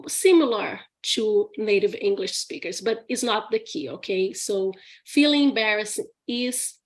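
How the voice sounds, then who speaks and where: Woman, Brazilian accent, English teacher, live Q&A, slow pace, chatty, laughs often. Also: medium pitch. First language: Portuguese. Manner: chatty, laughs often, many restarts and "okay?" tags. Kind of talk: live Q&A session.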